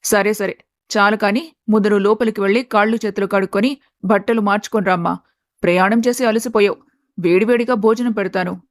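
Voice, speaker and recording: A woman's voice: mid-range voice, steady tone, a little fast, no room sound, clean studio sound, lightly acted. A woman playing a practical, caring middle-aged village mother in a dramatised story, motherly and chiding. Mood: fussing. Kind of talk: dramatised moral story acting.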